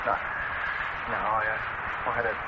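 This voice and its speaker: An actor playing an agitated, boastful patient who dreads the dentist in a radio drama, stammering and insistent. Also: male voice, fairly low pitch, flat delivery, unhurried, natural voice. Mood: nervous, defensive. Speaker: emergency dental patient. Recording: dull, noisy old radio recording.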